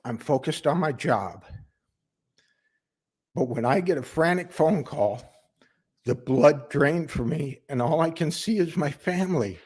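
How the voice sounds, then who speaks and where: A man playing an earnest, desperate working father in a halting, emotional dramatic monologue. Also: a little high, animated, darkish tone, breathy edge, pitched above the performer's own voice. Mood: defensive, pleading.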